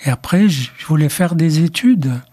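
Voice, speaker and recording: A man's voice: a little high, lively intonation, dark tone, husky. An older man, a psychoanalyst with Arabic as his first language, answering radio interview questions in a reflective, hesitant way, from Morocco. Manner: slow, reflective, with hesitant restarts. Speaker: psychoanalyst guest.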